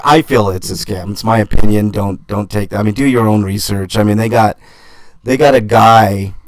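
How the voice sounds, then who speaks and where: Low-voiced guy doing a chill livestream, stops and restarts a lot, dry sound. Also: no room sound. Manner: halting, many fillers and restarts. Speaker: channel host reading viewer comments. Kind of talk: casual livestream comment chat.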